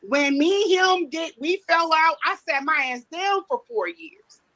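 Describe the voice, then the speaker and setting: A woman's voice: high-pitched, unhurried, a bit bright, rough recording. A woman in her forties bluntly and crudely defending her personal history in an interview clip. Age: 40s.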